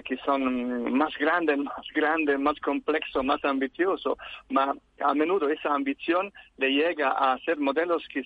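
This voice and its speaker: An Italian-accented man speaks Spanish as a guest on a radio show, coming through a thin, phone-like line. He hesitates often, stops mid-word and starts again, and sometimes drops into Italian. His voice sits at a middle pitch, and the sound is dry, with no room around it. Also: dry recording. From Italy. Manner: halting, many fillers and restarts, mixes in Italian words. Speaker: guest researcher. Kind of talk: phone interview.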